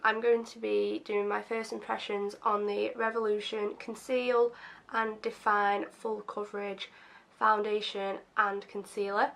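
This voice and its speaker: A young woman with a slightly croaky voice, casually chatting through a makeup first-impressions video with lots of ums and self-deprecating asides. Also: medium pitch, a bit bright. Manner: chatty and self-deprecating, many fillers like um and obviously. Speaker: beauty vlogger.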